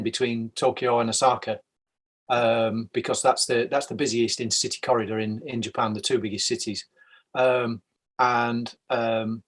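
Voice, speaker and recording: A male expert guest explaining high-speed rail history, informative with many ums.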